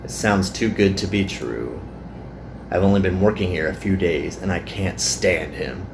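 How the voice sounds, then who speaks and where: A man's voice: low-pitched, unhurried, vocal fry, background noise. A man voicing a weary, self-deprecating young male worker in a visual novel. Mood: earnest, embarrassed.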